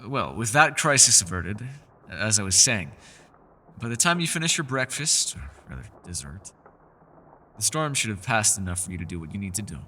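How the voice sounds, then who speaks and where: A man's voice: lowish voice, big pitch swings, moderate pace, pushed and bright, long reverb tail, a little hiss or hum, exaggerated voice. A man playing a mysterious, scholarly elf in a fantasy roleplay, with a refined, theatrical and teasing manner. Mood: calm, brisk. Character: mysterious, scholarly male elf, playful yet guarded. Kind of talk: fantasy ASMR roleplay, scripted character acting.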